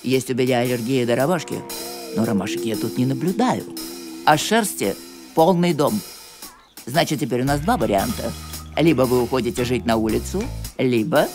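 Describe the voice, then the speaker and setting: A woman voicing a bossy, self-pitying, theatrical woman in an animated cartoon, dramatic and imperious in her pronouncements. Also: a bass voice, moderate pace, music in the background. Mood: accusing.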